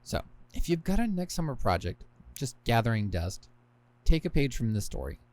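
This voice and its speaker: A man's voice: medium pitch, very animated, normal speed, a little mellow. Man narrating with wry asides. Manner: wry, self-deprecating asides. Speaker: podcast host and author. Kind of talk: scripted narration of own book.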